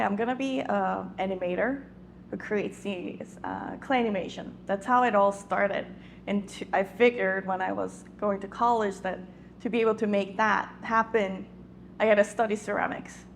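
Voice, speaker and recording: A woman's voice: mid-range voice, vocal fry, a little hiss or hum. A woman from South Korea, a native Korean speaker, chatting about her path as an artist, searching for words with many fillers. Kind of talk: panel talk.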